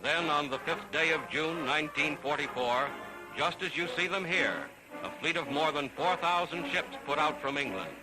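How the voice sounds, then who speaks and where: High-voiced 1940s newsreel man over loud music. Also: lively intonation, moderate pace, rough recording. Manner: dramatic, solemn, declamatory. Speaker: newsreel narrator. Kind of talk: 1940s newsreel scripted narration. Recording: archival 1940s newsreel soundtrack. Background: loud orchestral music.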